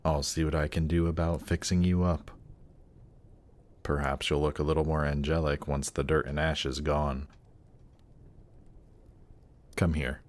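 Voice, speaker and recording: A male actor performs a suave demon prince in a fantasy roleplay drama. His voice is exceptionally deep and he takes his time over every phrase. He sounds polished and regal, with a measured, condescending courtliness. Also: flat delivery. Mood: soft, coaxing. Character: suave, haughty demon prince ruling a circle of Hell.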